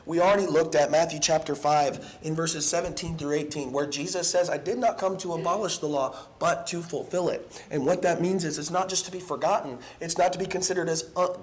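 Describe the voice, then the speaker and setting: A hoarse young man giving an evening sermon in a church, earnest and halting, with many ums and restarts. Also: on the high side, steady tone, rough recording. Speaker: preacher. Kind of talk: evening sermon with casual asides. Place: church sanctuary.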